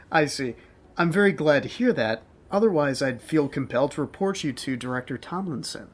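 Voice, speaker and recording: An actor playing a prim, law-abiding, wary bookstore clerk in an audio drama, formal and officious. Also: male voice, a little high, lively intonation, normal speed, husky. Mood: polite, wary.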